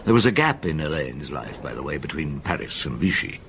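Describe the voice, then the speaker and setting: A very low, gravelly man plays out a seasoned detective in a radio drama. His delivery is reflective and measured but widely inflected, with some background noise. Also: very animated, a bit bright, full character voice. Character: seasoned, thoughtful police detective recounting a case.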